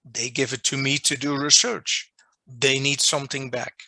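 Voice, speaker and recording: A man with a Dutch accent, a non-native English speaker, giving an online lecture as a journal editor and restating his points deliberately over a video call. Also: medium pitch, level tone, pushed and bright, no echo. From Netherlands. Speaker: journal editor presenting a workshop. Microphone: video call microphone.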